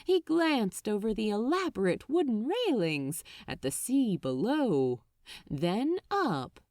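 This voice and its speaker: A woman's voice: fairly high pitch, big pitch swings, slow pace, darkish tone, no room sound, studio quality. A woman reading fiction aloud as an audiobook narrator, telling the story expressively.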